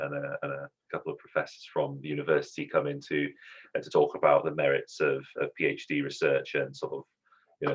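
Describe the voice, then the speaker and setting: A man with an extremely deep, husky, flat voice hosts an online student event, halting often with ums and restarts. The sound comes through a dull, lo-fi call. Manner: hesitant, many ums and restarts, friendly. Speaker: student society host. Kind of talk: hosting an online society event.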